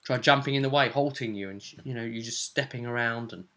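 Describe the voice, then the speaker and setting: A man's voice: mid-range voice, a little fast, bright, forceful tone. A man giving a casual, rambling recap of a tabletop role-playing session as the game master.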